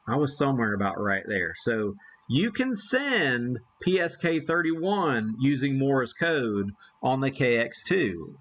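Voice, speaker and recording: Man, mid-pitched voice, live stream host, casual demo chat, folksy, self-deprecating, slightly lo-fi sound. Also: big pitch swings, takes it slowly, fairly bright tone, a little hiss or hum. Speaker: live stream host, amateur radio hobbyist.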